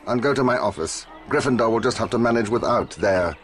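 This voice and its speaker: An actor with an English accent playing a cold, sneering, middle-aged wizard schoolmaster in a game, with a contemptuous drawl. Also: male voice, medium pitch, animated, fairly quick, fairly bright tone, natural voice. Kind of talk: video game voice acting.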